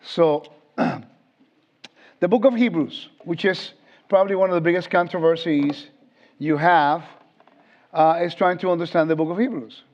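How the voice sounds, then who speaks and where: A man's voice: a little high, unhurried, pauses often. A man in his fifties from Puerto Rico, giving an informal Bible lesson and often quizzing his class. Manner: conversational, asks the audience questions. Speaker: Bible teacher. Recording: dull lo-fi sound.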